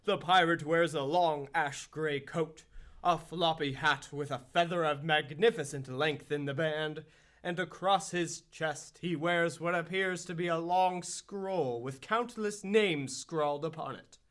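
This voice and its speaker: A dramatic radio drama narrator played by a man with a fairly high voice. He tells a hero's adventure in an ominous, scripted storyteller's manner. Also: a little slow, a bit bright.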